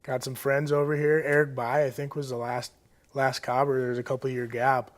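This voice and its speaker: A man's voice: mid-range voice, little pitch movement, moderate pace. A young American man, a college athlete, giving casual, laid-back interview answers in short clipped phrases. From Moorhead, Minnesota, United States. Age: young adult. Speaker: college football player, interview guest.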